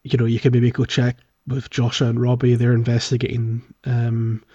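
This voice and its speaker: A man's voice: mid-range voice, completely flat delivery, moderate pace, mellow. Man chatting, slightly muffled recording. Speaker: podcast co-host. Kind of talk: casual video game playthrough podcast chat.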